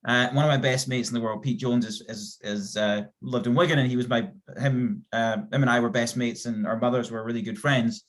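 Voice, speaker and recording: A man's voice: mid-range voice, fairly even intonation. A man from Scotland co-hosting a podcast, telling stories in casual, chatty talk with lots of fillers. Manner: chatty, fast-shifting storytelling with fillers. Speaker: podcast co-host. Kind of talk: casual chat.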